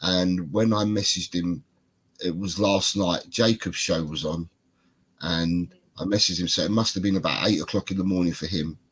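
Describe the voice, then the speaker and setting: A man's voice: low-pitched, moderate pace, breathy edge. A man hosting a casual livestream chat with a British accent, congested and bunged up, rambling and repeating himself. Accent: British English. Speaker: podcast host.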